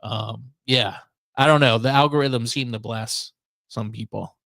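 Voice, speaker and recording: A man's voice: mid-range voice, fairly even intonation, measured pace, vocal fry, a bit bright, dry recording. A middle-aged American man chatting casually as a podcast host. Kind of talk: casual chat.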